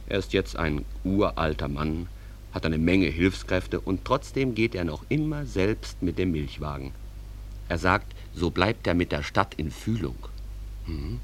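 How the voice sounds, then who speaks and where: An actor playing a calm, reflective small-town stage manager who addresses listeners in an unhurried, conversational way in a German radio drama. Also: male voice, low voice.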